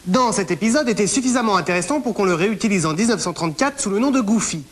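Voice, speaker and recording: A woman's voice: medium pitch, animated, quick pace. A woman co-hosting a magazine show, reading a scripted presentation in a playful manner. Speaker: TV co-host. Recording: hissy background noise.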